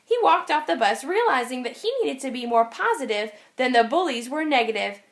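High, animated woman reading a storybook. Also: a little fast. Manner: expressive, clearly phrased for children. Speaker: teacher reading aloud. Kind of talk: children's storybook read-aloud. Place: indoors, over an open picture book.

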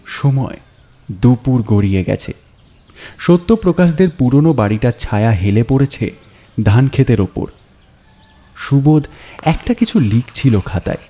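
A man narrating a story in a measured, atmospheric, scripted style with dramatic pauses. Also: mid-range voice, animated, warm tone.

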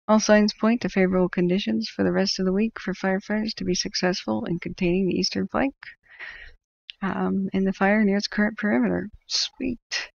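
A woman's voice: mid-range voice, creaky, dry recording, dull audio. A woman with an American accent reading updates informally on a livestream, chattily correcting herself and adding side comments. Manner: chatty, self-correcting, interjects small reactions. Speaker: livestream host tracking wildfires.

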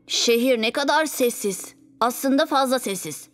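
A woman's voice: high voice, moderate pace, lightly acted. A woman voicing a brave, bossy young boy who leads a superhero team in a cartoon, childlike and commanding. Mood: focused. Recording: music behind.

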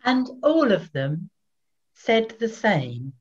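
An older woman narrating scripture from a script in a measured way, pausing between phrases, recorded at home on a webcam. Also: mid-range voice, big pitch swings, lo-fi sound. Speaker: narrator, Evangelist reader. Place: indoors in a home room.

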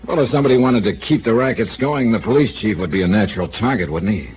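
A man's voice: fairly low pitch, expressive, quick pace, lightly acted. An actor playing a dry, persistent male insurance investigator in a 1950s radio drama, asking pointed questions with a wisecracking edge. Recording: tinny old radio broadcast with hiss.

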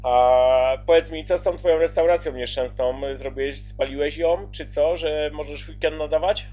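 A man's voice: on the high side, steady tone, normal speed, warm tone, faint music behind. Man in dull lo-fi radio chat. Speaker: CB radio operator relaying calls.

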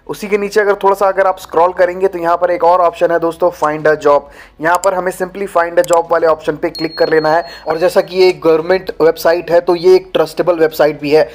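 A young man hosting a how-to video, walking viewers through a website in a friendly, chatty way and often calling them friends. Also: on the high side. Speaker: YouTube channel host. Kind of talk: informal tutorial walkthrough. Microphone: lapel mic. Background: faint background music.

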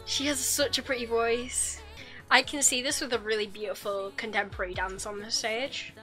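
A woman's voice: on the high side, fairly even intonation, normal speed, sharp tone, soft background music. A young woman, chatting casually and gushingly as she reacts on camera from a small room at home. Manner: chatty, gushing, self-correcting restarts. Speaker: YouTube reaction host. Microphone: desk microphone, wearing headphones.

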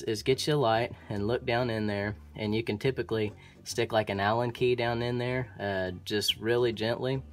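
A man with an Oklahoma accent, an HVAC technician explaining furnace repair in a relaxed, practical way, with frequent ums and restarts. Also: on the low side. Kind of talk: instructional how-to explanation. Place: indoor utility closet by a furnace.

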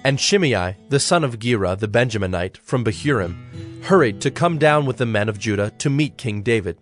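A man narrating scripture from a script in a measured, solemn storytelling manner. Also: medium pitch, expressive, faint music behind.

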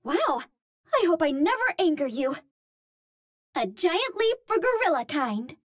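A woman's voice: extremely high pitch, sing-song, measured pace, no room sound, clean studio sound, full character voice. A woman voicing a cute, earnest young Martian explorer in a video game, with a light girlish voice and polite, precisely worded phrasing. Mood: admiring, grateful. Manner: formal, precise wording without contractions, earnest and polite. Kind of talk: video game hero callouts.

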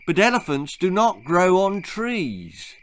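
Man acting an old male safari guide, harried, deferential, raised pitch, storybook. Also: big pitch swings, takes it slowly, a bit bright, heavily acted.

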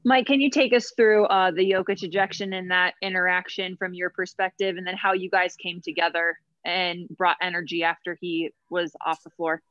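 A woman's voice: lowish voice, flat delivery, moderate pace, hardly any pauses, a bit bright, no room sound. Woman reporter, dry muffled line. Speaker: sports reporter. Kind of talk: press conference question.

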